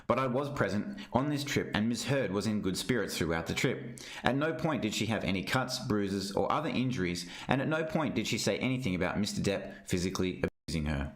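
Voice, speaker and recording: A man reading a legal document aloud in a formal, measured narrating manner. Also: fairly low pitch, animated. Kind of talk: formal read-aloud narration of a witness statement. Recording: roomy, echoing sound.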